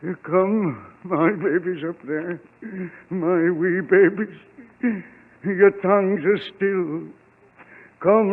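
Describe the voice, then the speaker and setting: An actor playing an anguished, obsessive bell ringer in a melodramatic, pleading 1940s radio style. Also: male voice, high voice. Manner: pleading, melodramatic, crooning to bells as children. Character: anguished, obsessive, vengeful old-time village bell ringer. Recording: echoey, noisy old radio recording, tinny.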